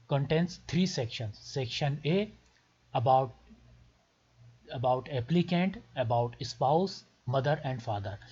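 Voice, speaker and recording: A muffled, lo-fi recording of a man with a Pakistani accent whose native language is Urdu. His voice is rough and husky. He gives instructions step by step in short, deliberate phrases, often repeating himself. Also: fairly high pitch, darkish tone. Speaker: tutorial presenter. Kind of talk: step-by-step instructional screen tutorial.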